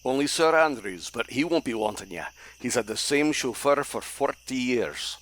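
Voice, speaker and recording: An actor playing a curt, suspicious, tight-fisted Scottish crofter in an audio play. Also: male voice, medium pitch, lively intonation, moderate pace, a bit bright. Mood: guarded. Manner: curt, bossy, blunt.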